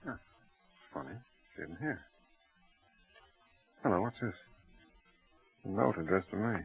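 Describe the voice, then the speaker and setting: An actor playing a gentle middle-aged father in a radio drama, soothing and expressive in a theatrical way. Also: low voice, unhurried, faint music behind. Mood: puzzled, subdued. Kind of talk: mid-century radio drama acting. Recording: muffled, tinny old radio recording.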